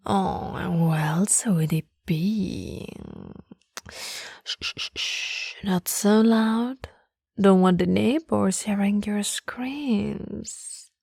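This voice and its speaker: Woman acting a slow, teasing nerdy monster girlfriend. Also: mid-range voice, darkish tone, no room sound, clean studio sound, full character voice. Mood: playful, mock spooky. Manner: playful, teasing, often dropping into breathy whispers. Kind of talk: intimate roleplay audio acting.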